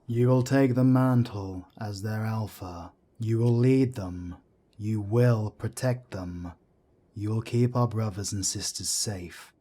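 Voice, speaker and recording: A man plays a grave werewolf elder on the council, his voice very low and dark. He talks slowly and solemnly, with a husky edge to every line. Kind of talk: amateur audio drama acting.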